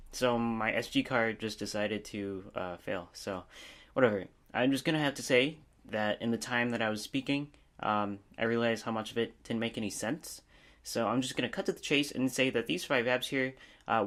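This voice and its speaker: A man's voice: mid-range voice, steady tone, normal speed, fairly bright tone. A man giving a casual, unscripted review as a video host, rambling informally with a self-deprecating manner.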